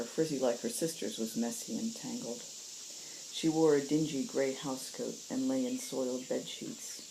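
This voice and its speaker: A woman's voice: rumbling low, background noise. A woman storyteller hosting and reading aloud. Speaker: storyteller, show host.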